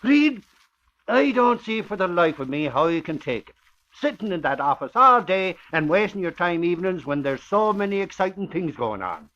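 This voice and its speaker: High, bright, excitable sidekick. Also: male voice, moderate pace, full character voice. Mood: scoffing. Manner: emphatic, eager storytelling. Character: excitable, talkative Irish ex-policeman bodyguard and sidekick. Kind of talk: 1940s radio acting. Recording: muffled old radio recording.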